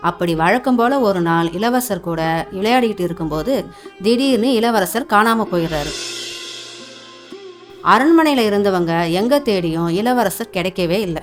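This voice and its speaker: A woman narrator telling an animated folktale. She has a medium-pitched voice and explains the story in colloquial language, with faint music underneath.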